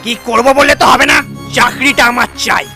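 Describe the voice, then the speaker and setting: A man's voice: very high voice, steady tone, moderate pace, bright, forceful tone, heavily acted. A man voicing a boastful male venomous snake in a comic cartoon, sneering, needling and threatening like a bully. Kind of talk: comic cartoon villain dialogue. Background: music.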